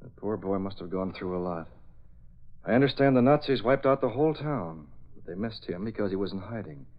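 A man's voice: on the low side, lively intonation, brisk, lightly acted. A man playing a composed young newspaper publisher, businesslike, in old radio drama style. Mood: calm. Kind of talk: 1940s radio acting. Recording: muffled old radio recording.

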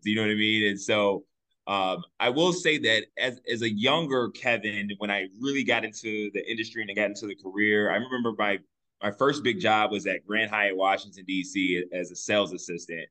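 A man's voice: lowish voice, sharp tone, poor audio. A man who works in hospitality sales, answering interview questions in a reflective, conversational way. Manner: reflective, searching for words. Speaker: hospitality sales director, guest.

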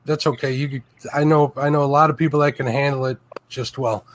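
A man hosting a casual panel chat, easygoing and quick to laugh. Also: mid-range voice, fairly even intonation, a little hiss or hum. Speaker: host.

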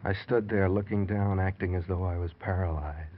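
An actor playing a scheming, nervy American husband in a classic radio crime drama, with an intense theatrical delivery. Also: male voice, sits low, steady tone, moderate pace. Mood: tense, frantic. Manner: intense, theatrical confessional narration. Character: scheming, nervy murderous husband confessing his plot. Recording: muffled, noisy old radio recording.

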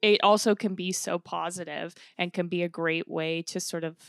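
A woman's voice: lowish voice, fairly even intonation, moderate pace, creaky, a bit bright, no room sound, studio quality. A woman co-hosting a podcast, asking questions in an enthusiastic, rambling way. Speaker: podcast co-host. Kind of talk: casual interview chat.

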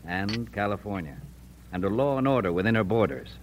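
An actor playing a wry, defiant American frontier editor with a folksy manner in an old radio Western. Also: male voice, on the low side, animated, fairly quick, a little hiss or hum, lightly acted. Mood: jovial, dry. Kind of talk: old-time radio Western drama acting.

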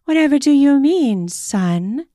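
A woman voicing a breathless, playful older woman who speaks in a high, gasping, breathy way. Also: very animated, measured pace, no room sound, studio quality. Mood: teasing.

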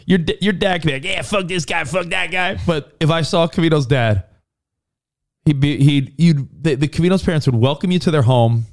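A man's voice: a little high, fairly quick. A man co-hosting a casual podcast chat. He tells family stories with a lot of swearing and mimics the people in them. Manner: profane, animated storyteller who mimics his mother. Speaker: podcast co-host.

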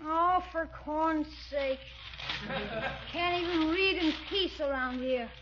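A woman's voice: high voice, slow pace. A woman voicing a cheeky, wisecracking young schoolboy with a brash, whiny streak in an old radio comedy. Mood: whiny protest. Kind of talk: 1940s radio comedy acting. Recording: muffled old radio recording, faint noise.

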